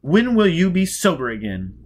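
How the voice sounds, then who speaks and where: A man voicing a gushing, scandalised high-society lady in a theatrical, exclaiming way for a story reading. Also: huge pitch swings, normal speed. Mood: scandalised delight.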